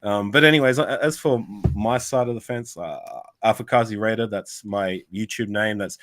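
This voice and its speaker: A man's voice: lowish voice, normal speed, fairly bright tone, no echo. An Australian man chatting casually as a guest on a fan podcast, rambling with lots of restarts. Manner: rambling, full of restarts and fillers. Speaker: guest content creator.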